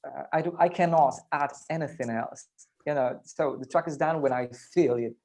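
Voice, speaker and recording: A man who produces music, talking in a casual panel chat in an earnest, self-deprecating, halting way. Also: fairly high pitch, a little mellow.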